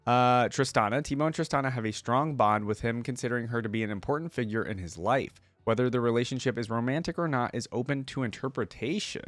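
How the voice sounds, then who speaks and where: A man's voice: medium pitch, fast talker, few pauses, no echo. A man hosting a reaction video in a casual, easygoing, chatty way, sometimes reading aloud.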